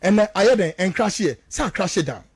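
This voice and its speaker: High-pitched, animated Ghanaian man, emphatic. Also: moderate pace, creaky, breathy edge, no echo, clean studio sound. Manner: emphatic, accusatory, repeats phrases for stress. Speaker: political commentator on a radio show. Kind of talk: impassioned talk radio commentary mixing two languages.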